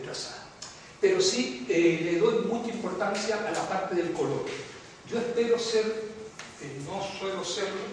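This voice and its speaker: An older man from the Canary Islands, speaking Spanish with a Canarian accent blended with Latin American habits, gives a reflective, rambling university lecture. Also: fairly high pitch. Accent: Canarian Spanish with Latin American mixing. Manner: reflective, discursive, frequent fillers and asides. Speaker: economics professor giving a lecture. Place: large lecture hall. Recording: distant echoey hall with noise.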